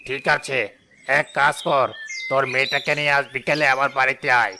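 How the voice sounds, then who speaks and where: A man's voice: medium pitch, little pitch movement, brisk, background noise, close to an everyday voice. A man playing a bossy, miserly, rich older village chief in a cartoon, speaking in a commanding and condescending way. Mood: domineering. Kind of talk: Bengali cartoon voice acting.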